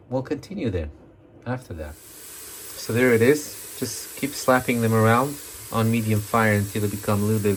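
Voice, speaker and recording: A deep-voiced man walks viewers through a recipe in a relaxed home-kitchen chat. His English comes with frequent ums and self-checks as he searches for words. A faint background noise sits under the voice. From Morocco. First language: Amazigh. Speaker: cooking video host. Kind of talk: casual cooking demonstration.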